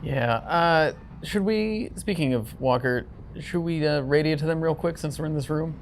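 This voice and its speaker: A woman's voice: deep voice, normal speed, a little hiss or hum. A woman chatting casually as a player in a tabletop role-playing game.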